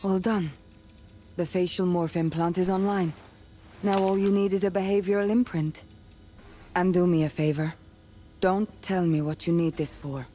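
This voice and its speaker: A discreet back-alley implant surgeon from a video game, voiced by a woman. The tone is dark and mellow, the delivery brisk and matter-of-fact. There is faint noise in the background. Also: on the low side, fairly even intonation, natural voice. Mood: brisk, guarded. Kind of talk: cinematic video game acting.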